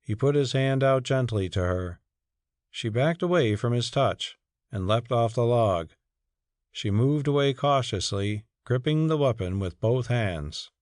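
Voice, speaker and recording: A man's voice: medium pitch, animated, a little slow, darkish tone, dry recording. Close, dry male dramatic narrator. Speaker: audiobook narrator. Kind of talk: dramatic scripted story narration.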